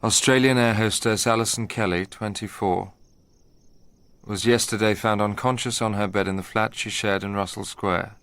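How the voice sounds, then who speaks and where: A man playing a brooding, proud young narrator in a radio drama, telling his story in measured literary phrases. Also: on the low side, steady tone, fairly bright tone, dry recording. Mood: intent, troubled. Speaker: first-person narrator.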